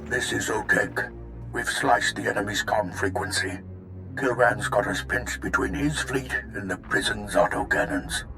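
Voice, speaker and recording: An actor playing a self-sacrificing fleet officer in a video game, giving urgent, commanding orders. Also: male voice, fairly low pitch, a little slow, gravelly. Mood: steely urgency. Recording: music under the voice.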